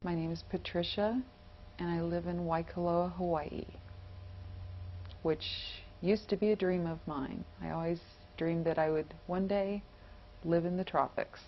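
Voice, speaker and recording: Woman, bit low voice, personal testimonial, muffled w slight background noise. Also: fairly even intonation, measured pace. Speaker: patient describing illness and recovery. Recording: muffled, faintly noisy home video.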